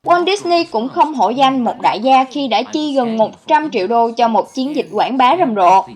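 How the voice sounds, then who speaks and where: A woman's voice: sits high, animated, moderate pace, a little mellow. A woman reading scripted narration as the host of a countdown video. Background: background music.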